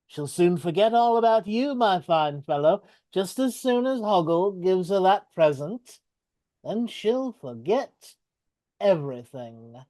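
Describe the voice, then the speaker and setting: A man voicing a scheming goblin king villain in a live reading, speaking in a slow, taunting way. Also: high voice, mellow, dry recording.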